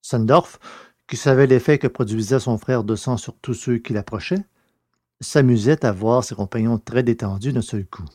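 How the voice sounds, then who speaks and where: A man narrating a scripted story in a measured, literary reading style. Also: mid-range voice, darkish tone, no echo, clean studio sound.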